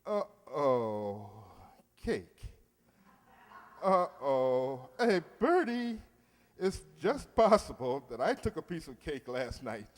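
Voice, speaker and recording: An actor playing a pompous, fussy middle-aged uncle in an old-time radio comedy. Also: male voice, mid-range voice, very animated, slow pace. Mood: flustered. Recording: echoey hall stage recording.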